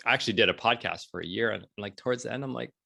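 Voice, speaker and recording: A man in his forties speaking casually as an interview guest, telling stories in an animated way and acting out bits of dialogue. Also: lowish voice, rapid-fire, vocal fry. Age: 40s. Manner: animated storytelling, acts out quoted dialogue, often checks in with "right?". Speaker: entrepreneur and blogger being interviewed. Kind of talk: casual conversational interview talk.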